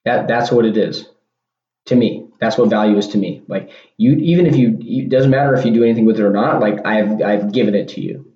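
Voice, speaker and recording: A man's voice: brisk, mellow. Rambling man in an echoey room. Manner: rambling, restarts, punchy "boom" and "bam" asides. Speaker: podcast host. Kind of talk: casual solo monologue. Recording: dull lo-fi sound in an echoey room.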